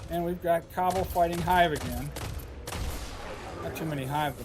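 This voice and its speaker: A man giving casual commentary over a game walkthrough, his voice a little hoarse and scratchy, thinking aloud with frequent filler pauses. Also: fairly high pitch. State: slightly hoarse, scratchy throat. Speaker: gameplay commentator. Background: game music and gunfire.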